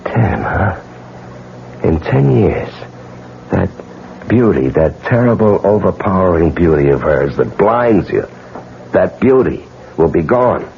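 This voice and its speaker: An actor playing a bitter, vengeful husband in a 1970s radio drama, sardonic and brooding. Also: male voice, sits low, very animated, takes it slowly, vocal fry, pauses often, slight background noise, full character voice. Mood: coldly bitter. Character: betrayed husband, bitter, coldly vengeful man. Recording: muffled vintage radio broadcast.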